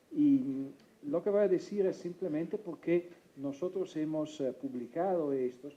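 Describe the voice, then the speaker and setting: A man from Italy speaking Spanish as a second language with an Italian accent, presenting his think tank's work and often halting to search for words. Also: medium pitch, warm tone, muffled recording. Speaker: think tank representative. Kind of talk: presentation talk.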